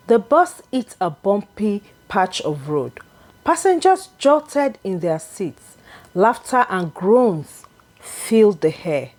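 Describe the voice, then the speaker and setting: A woman narrating a scripted folktale in a deliberate, expressive, dramatic storytelling manner. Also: medium pitch.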